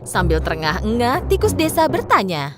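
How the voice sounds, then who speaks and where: A woman's voice: mid-range voice, wildly swooping pitch, normal speed. A woman narrating a scripted children's story. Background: music.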